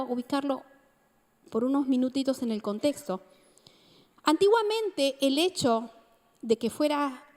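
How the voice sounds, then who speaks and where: A woman's voice: high-pitched, a little mellow. A woman preacher from Argentina with an Argentine accent tinged with Mexican, giving a sermon and often asking the congregation questions. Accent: Argentine Spanish with a touch of Mexican. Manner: engaging, asks the congregation questions. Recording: reverberant hall.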